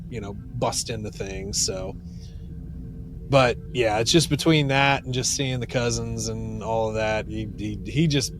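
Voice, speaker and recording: A man co-hosting a podcast in casual chat, grumbling wryly in a halting way. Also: mid-range voice. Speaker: podcast co-host. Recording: clearly noisy ambient background.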